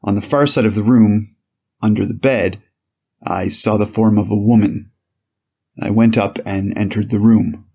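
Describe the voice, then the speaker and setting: A man playing an 1890s police officer on the witness stand, giving measured, formal, slightly hesitant testimony in a dramatized courtroom reading. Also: lowish voice, fairly even intonation, gravelly, a little mellow.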